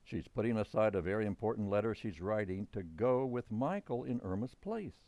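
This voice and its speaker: A man acts out a middle-aged father from an old-time radio sitcom. He is reasonable yet exasperated, with a very lively, swooping delivery. The sound is dry, with little room around it. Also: on the low side, brisk, dry recording. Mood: reasoning, insistent.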